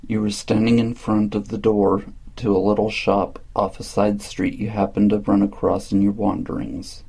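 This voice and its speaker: A man with a deep-set, fairly dark voice reads a guided meditation in a calm, measured way. His delivery stays very even and soothing, with a husky, gravelly grain to it. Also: low-quality recording. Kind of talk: slow scripted guided meditation narration.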